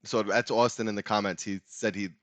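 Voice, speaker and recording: A man's voice: fairly low pitch, fairly even intonation, fairly quick, fairly bright tone, no room sound, dull audio. A man hosting a casual tabletop gaming talk show. He speaks in a loose, rambling way and laughs often. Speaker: podcast host. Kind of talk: casual chat.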